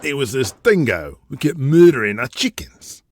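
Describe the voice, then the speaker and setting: A man's voice: medium pitch, big pitch swings, normal speed, a little hiss or hum, voice pushed up. A man playing a brash, boastful, profane Australian big-game hunter and media tycoon in an audio drama, with an intimidating manner. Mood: boastful reminiscing.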